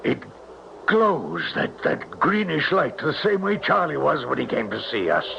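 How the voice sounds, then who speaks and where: Gravelly, wary older countryman. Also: a little high, wildly swooping pitch, a little slow, pushed and bright, heavily acted. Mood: awed, uneasy. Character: wary older countryman, father of a grown son. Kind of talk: 1970s radio drama acting. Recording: muffled noisy 1970s radio recording.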